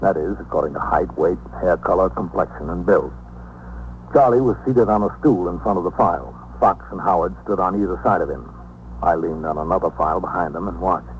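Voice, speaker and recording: An actor playing a calm, methodical police captain in a 1950s radio drama, speaking and narrating in a measured, matter-of-fact way. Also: male voice, sits low, natural voice. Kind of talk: 1950s radio acting, dialogue and first-person narration. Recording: noisy, humming 1950s radio recording.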